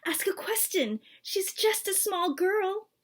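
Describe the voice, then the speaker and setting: A woman's voice: very high-pitched, animated, slow pace, voice pushed up, full character voice. A woman voicing a worried, doubting parent in a storybook, protesting hesitantly.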